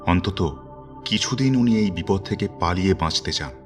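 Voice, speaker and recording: A man narrating a horror story in a dramatic, suspenseful way with measured, theatrical phrasing. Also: low-pitched. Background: background music.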